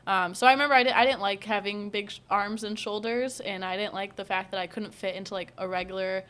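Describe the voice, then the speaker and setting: A young woman telling personal stories in a casual chat, animated and laughing often. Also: medium pitch, fast talker, smooth. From United States, Iranian parents.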